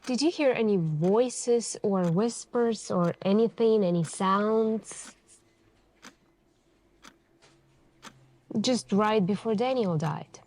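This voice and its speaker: A woman playing a calm, insistent police detective in an ASMR interrogation roleplay, speaking in a hushed, deliberate way with pauses between short phrases. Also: medium pitch, close to an everyday voice. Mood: quietly probing.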